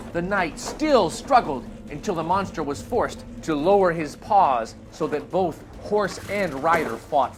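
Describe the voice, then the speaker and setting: A man reading a children's adventure story aloud in a theatrical, expressive, dramatic narrating style. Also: sits high.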